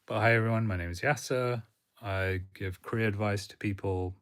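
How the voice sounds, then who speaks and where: A man who gives career advice, chatting casually on a live stream in a monotone, rambling way with fillers. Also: on the low side, normal speed, clear, resonant tone. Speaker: career coach.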